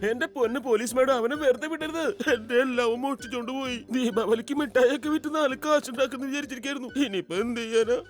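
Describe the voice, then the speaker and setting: A man's voice: extremely high pitch, quick pace, few pauses. A man voicing a frantic, pleading shopkeeper in a cartoon who has been robbed. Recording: cartoon soundtrack with faint music.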